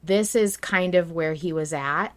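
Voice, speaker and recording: Female podcast co-host, lowish voice, informal and speculative, casual fan chat. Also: a little slow.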